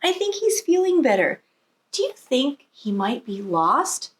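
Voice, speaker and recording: A woman's voice: high-pitched, very animated, a little slow. A woman voicing an eager little girl in a storybook, earnest and full of childlike wonder. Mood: hopeful. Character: eager little girl writing about her whale. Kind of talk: storybook read-aloud.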